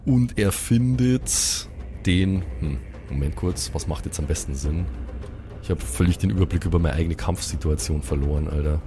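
A man's voice: low voice, moderate pace, mellow, soft background music. A man with a Bavarian accent improvising colloquial, vivid narration as the game master of a tabletop game.

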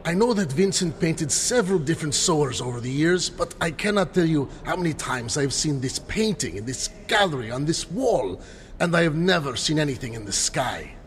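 A man's voice: on the high side, animated, normal speed, long reverb tail, slight background noise, lightly acted. A man playing an eager, theatrical, erudite scientist-adventurer in an old-time radio style adventure drama. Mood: admiring, lecturing. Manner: dramatic, erudite, grandly enthusiastic.

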